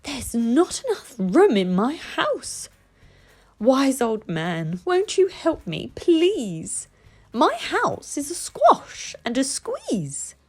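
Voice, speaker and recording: A woman voicing a fretful, grumbling little old lady from a children's storybook, pleading and wailing in a drawn-out, dramatic way. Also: sits high, wildly swooping pitch, breathy edge, pitched above the performer's own voice, exaggerated voice.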